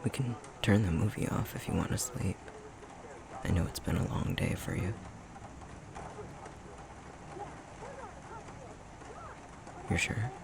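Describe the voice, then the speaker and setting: A man playing an affectionate, self-deprecating young fox-shifter boyfriend in an intimate ASMR roleplay, speaking softly and hesitantly, often half-whispered. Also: low-pitched, moderate pace, vocal fry, noisy background. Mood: gentle, drowsy.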